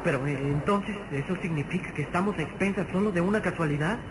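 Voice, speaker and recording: A high, youthful voice of an anxious boy , acted by a man in an old radio adventure serial. He is curious and pleading, on a clearly noisy vintage recording. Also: fast, lightly acted. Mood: puzzled, urgent. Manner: pleading, wondering questions. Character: anxious, curious young boy, hero's loyal sidekick.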